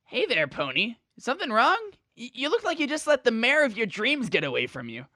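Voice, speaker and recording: A woman's voice: a little high, wildly swooping pitch, fairly quick, sharp tone, no echo, clean studio sound, exaggerated voice. A woman voicing a brash, teasing, cocky young male pegasus pony with boisterous slang in a fan-made audio story. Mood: puzzled. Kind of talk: fan fiction audio drama.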